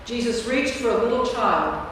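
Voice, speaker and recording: High-voiced woman narrating in an echoey church. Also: lively intonation, moderate pace, a bit bright. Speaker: narrator, priest. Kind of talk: scripted narration of a staged Bible reading. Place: large church interior. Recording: echoey church hall from far off, faint noise.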